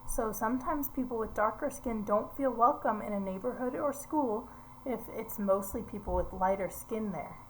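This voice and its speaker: A woman with a slightly high voice reads a picture book to young children. She explains things simply as she goes and puts questions to her listeners. There is some faint noise behind her voice. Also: normal speed, poor audio.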